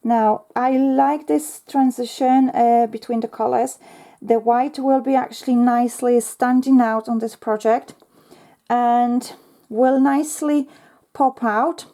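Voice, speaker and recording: Slow mellow-voiced lady doing a craft tutorial, lots of ums, voice a bit worn out. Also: fairly high pitch, fairly even intonation. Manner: chatty, talks to herself while working, frequent ums. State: slightly losing her voice. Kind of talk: casual step-by-step craft tutorial.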